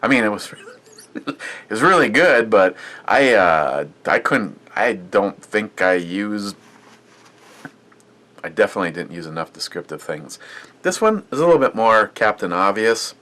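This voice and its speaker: Slow, low-voiced rambling beer vlogger man. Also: sing-song, pushed and bright. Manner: rambling, chatty, many fillers and restarts. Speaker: beer reviewer. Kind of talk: casual beer review vlog.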